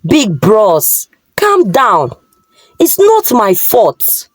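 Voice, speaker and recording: A woman's voice: mid-range voice, wildly swooping pitch, very slow, breathy edge, dry recording, heavily acted. A Nigerian-accented woman voicing a lazy, carefree young man who pleads and makes excuses in a folktale. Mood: pleading, defensive. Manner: pleading, evasive.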